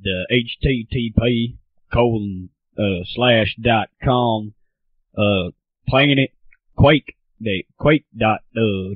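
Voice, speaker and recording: Man, podcast host, phone-like muffled sound, husky, irreverent, slangy, casual comedic talk. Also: mid-range voice, no echo.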